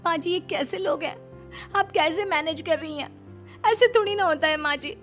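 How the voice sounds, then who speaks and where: Woman voicing a very high-pitched young daughter-in-law. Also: moderate pace, fairly bright tone. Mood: dismayed. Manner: complaining, indignant. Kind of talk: cartoon dubbing. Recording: faint background music.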